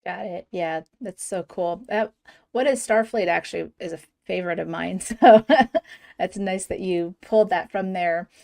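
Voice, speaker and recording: A woman's voice: on the low side, moderate pace, vocal fry, mellow. Woman hosting a podcast, friendly and upbeat, asking her guest stuff. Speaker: podcast host. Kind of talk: casual interview chat.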